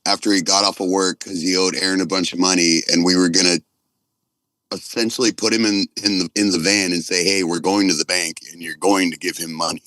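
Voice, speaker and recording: A man in his forties telling stories casually as a podcast guest, rambling and halting, with plenty of swearing. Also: low voice, fairly even intonation, bright, forceful tone, no echo, poor audio. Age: 40s. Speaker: podcast guest, punk band drummer.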